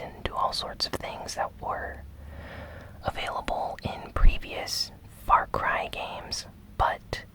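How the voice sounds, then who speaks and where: A host whispering a gaming news recap in a gentle, deliberate, breathy ASMR style. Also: poor audio. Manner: slow, gentle, deliberate delivery with short phrases.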